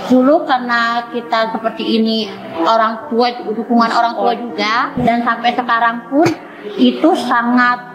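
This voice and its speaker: A young woman from Indonesia in her twenties, answering interview questions slowly and reflectively. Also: on the high side, level tone, crowd noise. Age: 20s. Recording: roomy sound with clear music.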